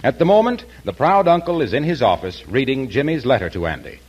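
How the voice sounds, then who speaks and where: A man's voice: mid-range voice, sing-song, a little fast, husky. A man announcing and narrating a scripted 1940s radio show in a polished, formal manner. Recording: dull vintage radio broadcast, music behind. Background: music.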